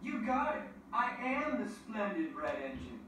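Woman doing vain showy engine, lively pitch, echoey, background noise. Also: unhurried, distant mic. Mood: proud. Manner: proud and showy. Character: vain, proud male red engine.

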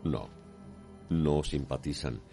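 A man narrating a radio soap opera in a solemn, deliberate, poetic storytelling style. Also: extremely low pitch, mellow, faint music behind.

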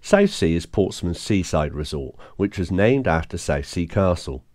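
Male vlogger, very low voice, steady informative voice-over narration for a travel series. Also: normal speed, no room sound, studio quality. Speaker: vlogger narrating a motorbike tour.

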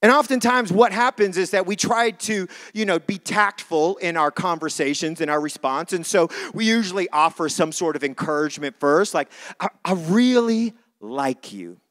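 A man's voice: a little high, animated, moderate pace, fairly bright tone. A man preaching a church sermon in an informal, conversational way, repeating phrases for emphasis. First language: not English (says English is not his first language).

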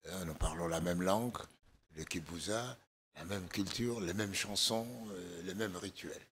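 An older man from Congo with a hoarse, gravelly voice, speaking as a political guest in a TV studio interview, measured and halting with many fillers. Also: low voice, fairly bright tone. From Democratic Republic of the Congo. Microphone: desk microphone.

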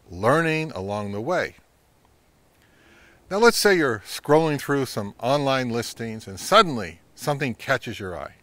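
A man's voice: medium pitch, fairly bright tone, no room sound. A middle-aged man with a North American accent giving informal, emphatic advice to camera as a channel host. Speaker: vintage audio channel host. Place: outdoors in woodland.

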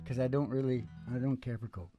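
A man's voice: mid-range voice, steady tone, fast talker. A man in his sixties hosting a show from a stage, giving rambling, folksy, laid-back banter that drifts off into asides. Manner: meandering, laid-back storyteller with trailing-off asides. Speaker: musician and show host. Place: small indoor stage with curtain. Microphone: stand microphone, close. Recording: live music behind the voice. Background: acoustic guitar picking.

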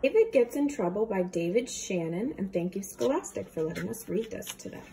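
A young woman teacher reading a storybook aloud to children, with playful, expressive comments. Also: mid-range voice, fairly quick, creaky, slight background noise, low-quality recording. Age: young adult.

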